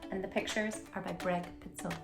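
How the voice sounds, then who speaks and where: A woman hosting a children's read-aloud, speaking in an animated, emphatic way straight to small children. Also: on the low side, fast, music in the background. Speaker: children's storytime host and tutor. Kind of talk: children's read-aloud and chat to young viewers.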